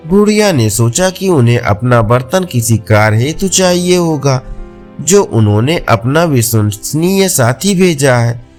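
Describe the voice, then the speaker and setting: A man's voice: medium pitch, animated, a little slow, darkish tone, soft background music. A man narrating a scripted folk tale as a storyteller.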